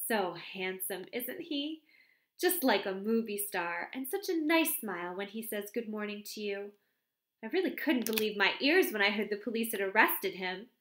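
A woman's voice: fairly high pitch, sharp tone, voice pushed up. A woman voicing a chatty, arch young secretary who gushes and gossips in an audiobook reading. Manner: chatty, breathless, exclamatory. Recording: roomy echo.

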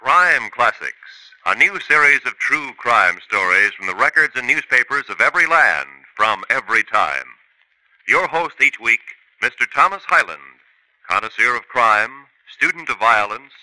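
Booming vintage radio announcer. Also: male voice, big pitch swings, moderate pace, sharp tone, no echo, low-quality recording. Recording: dry vintage radio recording.

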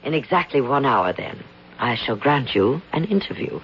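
An actress playing an out-of-work actress in a 1970s radio drama, theatrical and grandiose, on a dull vintage recording with faint hiss. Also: female voice, very deep voice, expressive, moderate pace. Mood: grand. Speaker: lead actress.

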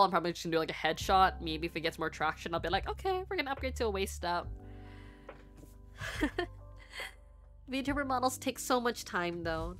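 A woman's voice: lowish voice, a bit bright. Chatty young woman streamer over faint music. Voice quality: stuffy nose at times. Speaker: VTuber streamer and artist. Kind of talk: casual livestream chat with viewers. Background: faint background music.

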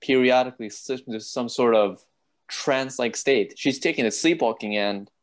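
A man playing a courteous, troubled gentleman doctor with polite, formal speech in a radio play. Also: mid-range voice, normal speed, close to an everyday voice. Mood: worried.

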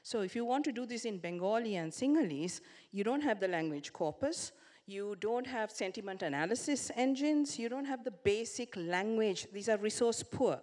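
A middle-aged woman policy researcher explaining her work on a conference panel, speaking in a measured way into a handheld mic. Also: mid-range voice, lively intonation, darkish tone. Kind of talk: panel discussion. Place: large conference hall. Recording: long hall reverb.

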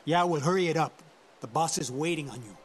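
A man's voice: a little high, brisk, background noise, natural voice. A man playing a surly, impatient mercenary guard in a video game, curt and irritable. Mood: irritated. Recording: loud game music over the voice.

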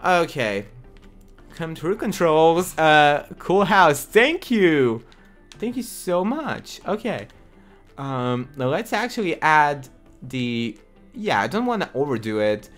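Lively excitable man streaming a game. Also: fairly high pitch, takes it slowly, soft background music. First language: not English. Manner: chatty, excitable, talks to chat viewers. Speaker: livestream host. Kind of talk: casual gaming livestream chat.

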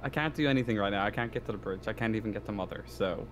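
A man's voice: lowish voice, very fast, a little hiss or hum. A man playing a tabletop roleplaying game in casual chat, hesitant, often repeating himself and swearing.

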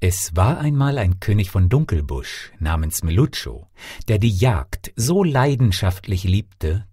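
A man narrates a fairy tale in an expressive audiobook style. His voice is fairly low, dark and mellow, recorded very dry. He articulates carefully, with a theatrical touch. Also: breathy edge, no echo.

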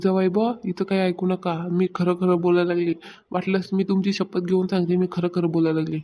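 A woman's voice: fairly low pitch, little pitch movement, brisk, dark tone, a little hiss or hum, natural voice. A woman voicing a meddling, gossiping older village neighbour in a comedy cartoon, with a rural Maharashtrian accent and a taunting, insistent way of telling tales. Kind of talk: Marathi comedy cartoon dialogue.

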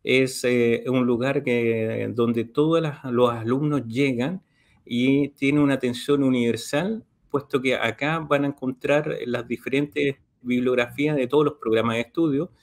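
A man's voice: mid-range voice, measured pace. Chilean guy on a webcam call, middle-aged, going through the details steadily, lots of eh's. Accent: Chilean Spanish. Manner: steady, detailed, with frequent "eh" fillers. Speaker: university library official. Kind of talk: explanatory interview on a video call. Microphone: video call webcam.

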